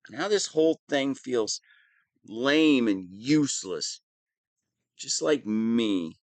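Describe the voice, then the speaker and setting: A man playing a whiny, hapless, soft-hearted wannabe super-villain in a scripted audio roleplay, self-pitying and prone to dramatic swings. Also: medium pitch, no room sound. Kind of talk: scripted one-sided audio roleplay monologue.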